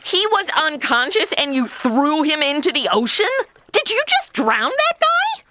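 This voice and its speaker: A woman's voice: high-pitched, sing-song, a little slow, very noisy. An actress playing a sassy, outspoken modern woman, sarcastic and indignant, in a comedy audio drama. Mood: alarmed.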